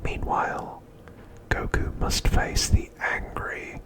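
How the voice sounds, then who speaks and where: A man whispering a scripted recap as ASMR narration, softly and with deliberate pauses, close to the microphone.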